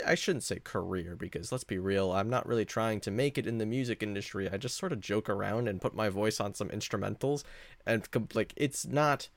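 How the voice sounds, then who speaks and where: Deep-voiced man with creaky, animated delivery. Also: no echo. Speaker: podcast host. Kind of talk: casual solo podcast monologue.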